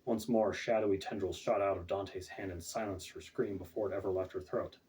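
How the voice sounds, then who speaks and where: A man's voice: low voice, steady tone, fairly quick, a little mellow, a little hiss or hum. A man reading his own fantasy fiction aloud as scripted audiobook narration. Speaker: author reading own book. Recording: distant, off-mic home recording.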